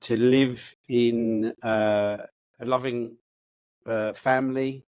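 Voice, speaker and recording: A man in his late fifties, raised in the UK, speaks as an interview guest at a medium pitch for a man. He is reflective and upbeat, hesitating and restarting his sentences. The recording is dry and close. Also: flat delivery, slow pace, darkish tone, smooth, dry recording. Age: 50s. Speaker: guest, housing organisation chief executive. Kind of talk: conversational podcast interview.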